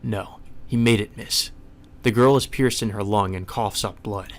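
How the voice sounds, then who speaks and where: A man's voice: lowish voice, measured pace. A man reading a visual novel aloud as solemn, dramatic scripted narration. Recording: music behind the voice.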